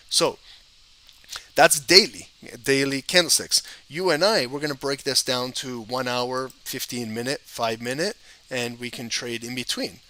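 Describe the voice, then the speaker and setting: A man with a cold and a hoarse voice, explaining trading charts to viewers in a casual, conversational way. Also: medium pitch, sharp tone, low-quality recording. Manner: conversational, addresses viewers directly. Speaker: trading educator. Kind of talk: live-streamed chart analysis.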